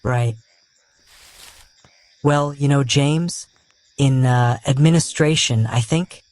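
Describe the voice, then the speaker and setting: A man's voice: mid-range voice, takes it slowly, a little mellow, slight background noise, natural voice. A man playing a cheeky, slightly awkward young wizard who talks informally in a fan audio drama. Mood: awkward pleading. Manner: informal, conversational.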